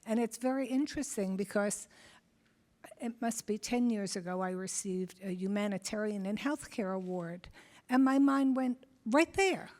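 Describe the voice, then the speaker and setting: A woman in the workshop, sharing a personal story in a reflective, measured way. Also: medium pitch, a little mellow. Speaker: workshop participant.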